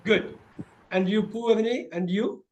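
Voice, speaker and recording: A man's voice: high voice, moderate pace, low-quality recording. A man with an Indian English accent tutoring students through a mock speaking exam, repeating his praise often.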